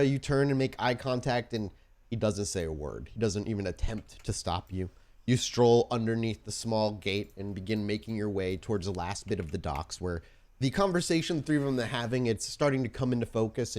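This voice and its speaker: A man's voice: medium pitch, a little mellow. A man working as game master, improvising descriptive, informal narration for a tabletop roleplaying game.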